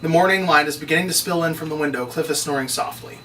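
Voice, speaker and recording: A man's voice: a little high, quick pace, bright, forceful tone, soft background music. A man reading scripted screenplay narration and stage directions at a table read. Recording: distant mic, faint background noise.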